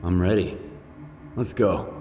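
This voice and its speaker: A man's voice: sits low, slow pace, over music. An actor playing a determined, stoic male loner hero in a video game, terse and understated. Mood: resolute, wary. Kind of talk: dramatic video game cutscene acting.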